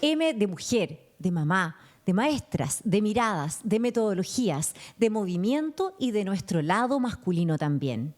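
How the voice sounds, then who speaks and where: A woman hosting an interview podcast, greeting and questioning her guest in an effusive, expressive way. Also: mid-range voice, measured pace, hardly any pauses. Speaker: podcast host. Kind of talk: conversational interview.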